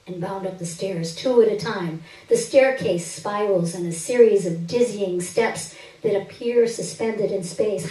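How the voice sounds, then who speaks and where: A woman reads a literary story aloud on stage in an expressive, emphatic first-person narrating style. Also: fairly high pitch. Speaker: story reader. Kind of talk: dramatic literary reading aloud. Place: live reading at a stage event, indoors. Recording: roomy echo, distant microphone.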